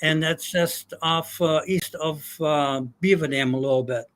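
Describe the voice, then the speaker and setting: An older man from Germany telling his life story, halting with many fillers. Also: a little high, a bit bright. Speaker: guest. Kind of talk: casual storytelling.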